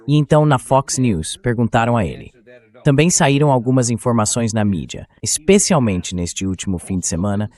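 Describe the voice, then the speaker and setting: A man's voice: lowish voice, a little mellow. A man voicing the translated commentary of a political talk show host.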